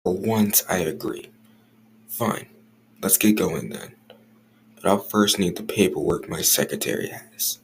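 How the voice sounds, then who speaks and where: Man acting a gravelly, stern, jealous boss. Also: low-pitched, lively intonation, a little slow, long pauses, slight background noise, full character voice. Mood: curt, defensive. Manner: curt, strict, guarded. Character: stern, jealous, irritable male office boss. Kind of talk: scripted audio roleplay acting.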